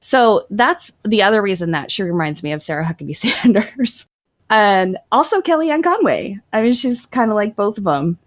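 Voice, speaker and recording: A woman's voice: mid-range voice, big pitch swings, moderate pace, no echo, studio quality. A woman co-hosting a casual podcast, reading article passages aloud and joking about her own pronunciation. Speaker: podcast co-host.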